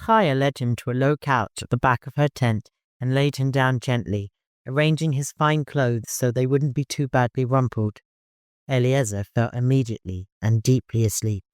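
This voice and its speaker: A man's voice: medium pitch, normal speed, smooth, no echo, clean studio sound. A man reading scripted fiction as an audiobook narrator.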